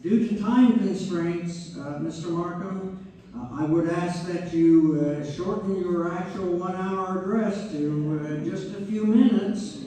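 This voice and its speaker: A man's voice: high-pitched, unhurried, warm tone. A man playing a genial narrator who guides an audience through a family history in scripted stage narration. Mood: genial, explanatory. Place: community theater hall. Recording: very echoey, distant mic, faint noise.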